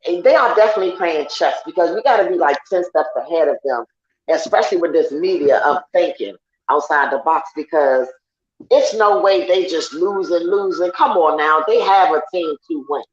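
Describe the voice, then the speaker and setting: A woman's voice: normal speed, slight background noise. A woman, chatting casually as a guest on an online sports talk show and laughing often. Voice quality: deep raspy voice. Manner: laughs often, loud and teasing. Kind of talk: casual chat. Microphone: online call. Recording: roomy, dull lo-fi online call audio.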